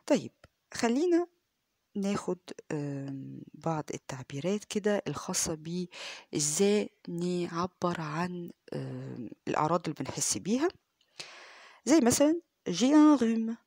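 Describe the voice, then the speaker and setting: A woman with an Egyptian Arabic accent teaching French, explaining quickly and repeating phrases slowly and clearly. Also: lowish voice, sing-song, dark tone, dry recording, clean studio sound. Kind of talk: language lesson, reading dialogues aloud.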